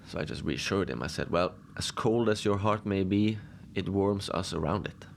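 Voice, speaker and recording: Guy acting as a deadpan lone adventurer rambling thru his story, really low voice, noisy ambience behind him. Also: vocal fry. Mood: amiable. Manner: deadpan, rambling, halting with asides. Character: deadpan lone man recounting odd adventures on a frozen ship. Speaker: audio drama narrator. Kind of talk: slow conversational first-person storytelling in a cozy horror audio drama.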